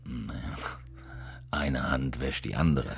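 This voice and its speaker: Dry sceptical cop in a radio drama, super deep voice, curt and sardonic. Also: male voice, steady tone, slow pace, gravelly, a little hiss or hum, heavily acted. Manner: terse, probing, sardonic. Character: sceptical, dry-witted male police investigator named Magnus. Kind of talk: naturalistic radio drama dialogue.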